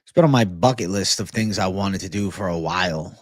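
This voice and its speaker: A man's voice: deep voice, fairly quick, dry recording, studio quality. A man hosting a casual livestream, chatting and answering viewers in slangy, profane, bro-heavy banter. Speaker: livestream host.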